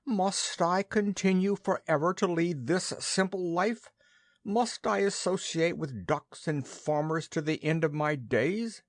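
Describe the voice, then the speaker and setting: A man's voice: high-pitched, unhurried, dry recording, studio quality, voice pushed up. A man voicing an indignant, pompous male goose who was once a prime minister, honking and wailing his complaints.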